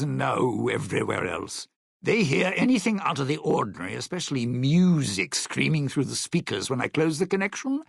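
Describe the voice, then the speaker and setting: Gravelly, sardonic ghoul lounge singer. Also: male voice, mid-range voice, dry recording, studio quality, exaggerated voice. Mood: agitated, sarcastic. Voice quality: gravelly, husky rasp. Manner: sardonic, theatrical, clipped phrasing. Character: cynical, self-serving ghoul lounge singer with showman's flair. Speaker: voice actor in a video game. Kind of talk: video game character dialogue.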